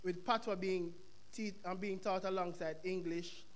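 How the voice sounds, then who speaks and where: A young man with a Jamaican accent asking a question from the floor of a lecture hall, telling his story in an animated way. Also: high voice, normal speed. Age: young adult. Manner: animated storytelling, quoting others. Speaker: audience member asking a question. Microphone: stand microphone in audience.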